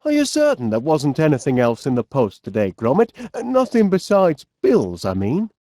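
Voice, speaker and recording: An actor playing a fussy, cheerful, absent-minded middle-aged inventor with a Northern English accent, speaking in a bumbling, polite way for an animated comedy game. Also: male voice, fairly high pitch, moderate pace, dry recording, clean studio sound. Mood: chatty, mildly fretful. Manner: bumbling, hesitant, polite, chatty.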